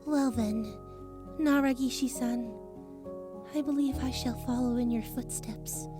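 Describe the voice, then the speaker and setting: A woman voicing an eerie, formal young girl with archaic, deliberate phrasing in an audiobook drama. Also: a little high, fairly even intonation, over music, natural voice. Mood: solemn, resolute.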